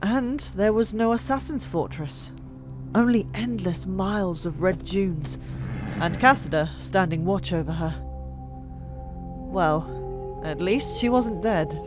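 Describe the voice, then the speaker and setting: A woman reading fiction as an audiobook narrator, dramatic and expressive, with drawn-out pauses. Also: mid-range voice. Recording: music behind, older microphone. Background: music.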